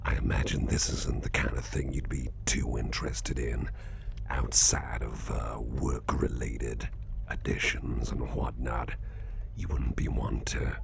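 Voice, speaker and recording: Man playing a very deep, gravelly, whispering boss. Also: little pitch movement, noisy background, full character voice. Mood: probing, suspicious. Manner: slow, deliberate, coaxing, veiled threat. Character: suspicious, menacingly calm crime boss interrogating an employee. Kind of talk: whispered ASMR roleplay.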